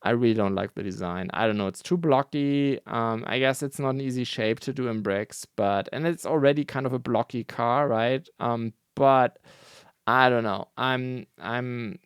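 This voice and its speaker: A non-native English-speaking man hosts a laid-back news roundup, wandering through his points with frequent ums and restarts. His mid-pitched voice has a gravelly edge. The sound is dry and close, with no room around it. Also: moderate pace, no room sound. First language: not English. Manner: relaxed, rambling, many fillers and self-corrections. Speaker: host of a brick building news show.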